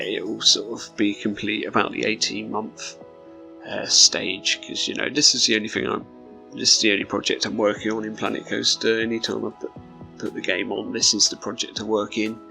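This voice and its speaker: A man with a hoarse, croaky voice, giving laid-back, rambling commentary over his own gameplay. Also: lowish voice, bright, forceful tone. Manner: rambling, laid-back, with frequent ums and small laughs.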